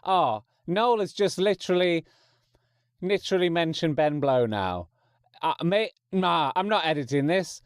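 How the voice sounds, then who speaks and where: A man's voice: fairly high pitch, expressive, unhurried, smooth, dry recording, clean studio sound. A man hosting a podcast, giving informal announcements in a chatty, rambling, wry and self-mocking way. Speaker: podcast host.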